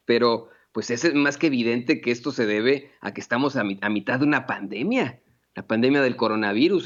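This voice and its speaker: A man with a Mexican Spanish accent talks through political commentary in a casual, rambling way, circling back with fillers and false starts. His voice sits at an ordinary middle pitch. The sound is muffled and lo-fi. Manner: rambling, many fillers and restarts. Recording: dull lo-fi sound.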